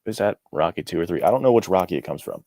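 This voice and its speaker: Deep-voiced man, dry close sound. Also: expressive, racing, dark tone, no room sound. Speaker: author, podcast guest. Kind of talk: casual interview chat.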